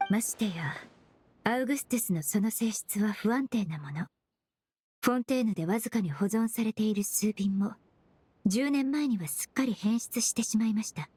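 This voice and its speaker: Soft breathy game perfumer, polite and measured, really dry close sound. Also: female voice, on the high side, dry recording. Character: composed, polite young woman perfumer, analytical and precise. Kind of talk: anime game voice acting.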